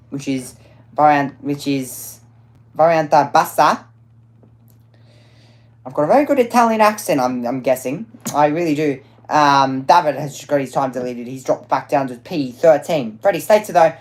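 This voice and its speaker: A woman giving live commentary on a motorsport qualifying session, chatty and quick to correct herself, with plenty of fillers along the way. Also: deep voice, a little mellow.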